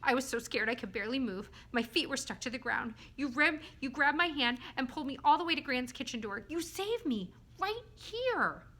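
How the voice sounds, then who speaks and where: A woman voicing a small, timid but excitable male creature in a children's story, earnest and childlike. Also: high-pitched, pitched above the performer's own voice, heavily acted. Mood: scared. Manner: childlike, earnest, dramatic, halting when scared.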